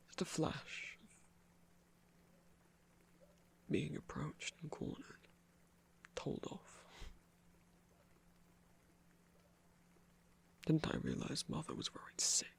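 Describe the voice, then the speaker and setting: A man voicing a gentle trickster god in an ASMR roleplay, whispering slowly and soothingly in a breathy, creaky voice with refined, hesitant phrasing. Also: on the high side, heavily acted. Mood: quiet, wry.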